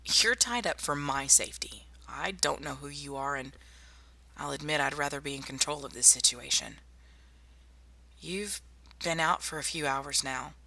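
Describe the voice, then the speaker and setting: A woman playing a tough, wry young survivor in a zombie apocalypse ASMR roleplay, speaking softly and intimately to one listener. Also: deep voice, normal speed, gravelly, full character voice. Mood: calm, guarded, caring. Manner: soft-spoken, intimate, addressing one listener. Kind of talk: ASMR roleplay audio drama.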